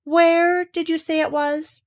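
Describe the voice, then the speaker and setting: A woman voicing a friendly, slow-witted male toy bear in a children's storybook, eager and simple in manner. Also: extremely high pitch, little pitch movement, pitched above the performer's own voice, heavily acted. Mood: puzzled, hesitant. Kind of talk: children's storybook character reading.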